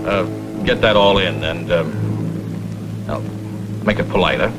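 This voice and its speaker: Low-voiced, blustering self-made tycoon. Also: male voice, measured pace, over loud music. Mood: blustering, bossy. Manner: blunt, forceful, boastful, clipped sentences. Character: gruff, blustering self-made millionaire businessman, protective father. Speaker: actor in a TV crime drama. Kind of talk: vintage TV drama acting. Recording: noisy vintage TV soundtrack.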